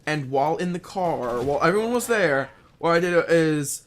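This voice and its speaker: Higher-voiced young man rambling over background noise. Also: expressive. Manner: rambling, halting, often rephrasing himself. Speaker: online video storyteller. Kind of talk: casual, unscripted story telling.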